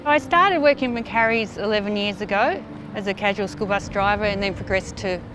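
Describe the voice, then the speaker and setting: A woman's voice: medium pitch, a little fast, low-quality recording. A woman giving an upbeat, conversational interview soundbite about her work at a bus company. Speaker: bus company office worker. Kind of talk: interview soundbite for a promo video. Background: background music.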